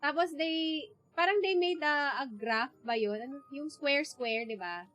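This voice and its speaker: A woman's voice: high-pitched, moderate pace, a bit bright. A woman with a Filipino accent chatting casually on a game livestream. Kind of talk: casual livestream chat, mixing English and Tagalog. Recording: game music behind the voice. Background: video game music.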